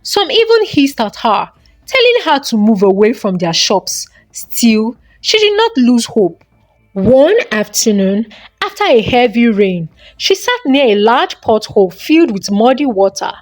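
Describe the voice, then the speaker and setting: A woman's voice: a little high, big pitch swings, measured pace, no echo, poor audio. A woman narrating a folktale as a dramatic storyteller.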